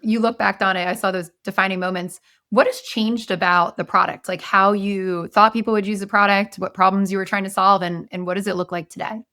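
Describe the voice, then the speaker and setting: A woman's voice: medium pitch, steady tone, brisk, pushed and bright. A woman hosting a podcast, asking a guest follow-up questions. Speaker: podcast host. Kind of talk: interviewing a guest.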